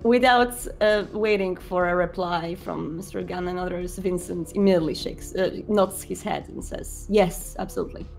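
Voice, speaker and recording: A woman's voice: lowish voice, fairly even intonation, normal speed, vocal fry, few pauses, soft background music. A woman narrating her character's actions in an improvised tabletop roleplaying game, phrasing things carefully with some hesitation.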